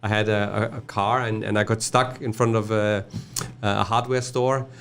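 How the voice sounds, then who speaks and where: A man's voice: fairly low pitch, steady tone, fairly bright tone. A man in his fifties from Germany with a German accent, a podcast guest talking casually, often restarting his sentences. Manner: halting, frequent restarts and fillers. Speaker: podcast guest, bike shop owner. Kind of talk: casual interview chat.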